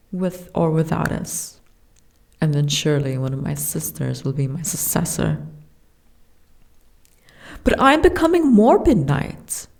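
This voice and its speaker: A woman voicing a gentle, wistful young giantess princess with courtly, formal phrasing in a soft ASMR fantasy roleplay. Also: low-pitched, creaky, heavily acted. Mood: morbid, resigned. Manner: formal, archaic, genteel phrasing.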